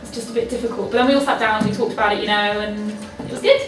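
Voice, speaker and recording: A woman's voice: mid-range voice, steady tone, very fast. A woman talking informally, giving tips and answers in the manner of a student. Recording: echoey room, distant mic, faint music.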